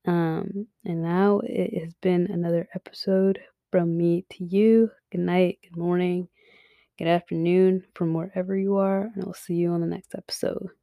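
A young woman gives frank, swear-laced advice as a podcast host, often slipping into a whisper. Her voice is low and mellow, and it sounds worn as if she is losing it. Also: level tone, moderate pace, creaky, dry recording, studio quality. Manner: frank, swears, many fillers, drops to a whisper. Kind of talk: casual advice monologue.

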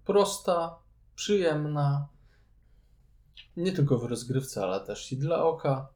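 A man's voice: a little high, lively intonation, slow pace, darkish tone. A man giving casual opinions as co-host of a board game show. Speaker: podcast co-host.

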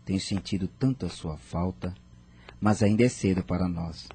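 An actor playing a polite, lovelorn young widower in a radio soap opera. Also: male voice, low voice, normal speed, mellow, a little hiss or hum. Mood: wistful.

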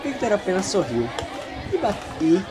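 A man's voice: sits high, sing-song, normal speed, a loud crowd, heavy background noise. A man with a Brazilian accent narrating an audio drama theatrically, with casual, playfully snobbish asides.

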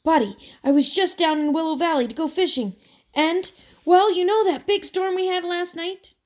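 A woman voicing an excited young boy in a storybook reading, talking fast and breathless. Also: extremely high pitch, voice pushed up. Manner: breathless, rushed.

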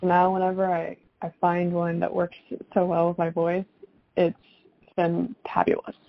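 A young woman answers interview questions in a casual, chatty way. Her voice sits on the low side and often slips into vocal fry. The sound is dry and slightly dull. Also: monotone, moderate pace, dry recording. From South Florida, United States. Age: young adult. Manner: chatty, frequent "like" and youthful slang. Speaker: singer-songwriter guest.

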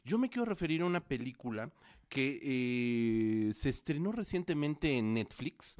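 A man's voice: medium pitch, very animated, a little slow, a little mellow. Rambling man reviewing films. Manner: discursive, many fillers and restarts. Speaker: co-host film critic. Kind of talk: casual film review chat. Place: studio with producer at console.

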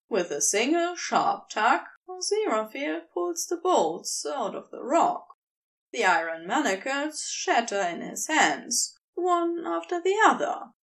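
A woman reading scripted fiction as a narrator, with expressive, deliberate storytelling. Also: a little high, vocal fry, recorded from a distance. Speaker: podfic narrator.

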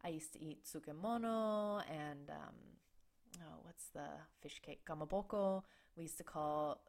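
A woman's voice: low-pitched, measured pace, a little mellow. A young woman hosting a podcast, telling her own story candidly, rambling a little with fillers and restarts. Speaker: podcast host. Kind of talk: personal storytelling monologue.

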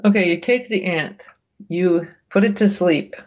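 A woman reading research aloud as a guest, with casual, bemused asides, thinking out loud in a conversational way. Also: lowish voice, unhurried, highs cut off. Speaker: guest reader reacting to research papers.

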